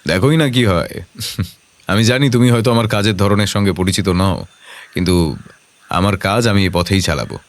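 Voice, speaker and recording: An actor playing a self-assured detective in an audio drama, measured and confident, kindly but commanding. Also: male voice, low-pitched. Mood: calm, assured.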